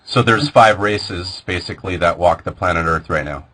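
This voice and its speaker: Male interviewer, very deep and forceful, loose searching questions. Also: moderate pace.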